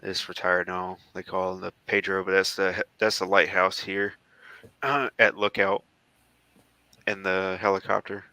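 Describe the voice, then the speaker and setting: An American man in his thirties chatting casually as he talks through old photos, halting and rambling as he goes. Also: low-pitched, little pitch movement, sharp tone, no room sound. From southeastern United States. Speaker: guest, former Marine air traffic controller.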